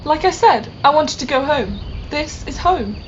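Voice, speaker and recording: A woman's voice: sits high, animated, unhurried. A woman playing an exasperated young time-travel companion in an amateur audio drama, indignant and scolding. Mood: relieved, confused. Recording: muffled home recording, noticeable noise.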